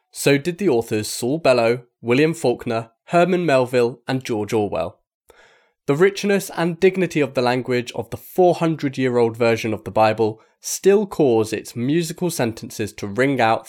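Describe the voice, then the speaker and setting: A man's voice: mid-range voice, darkish tone. A man reading a magazine article aloud as scripted narration. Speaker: article reader.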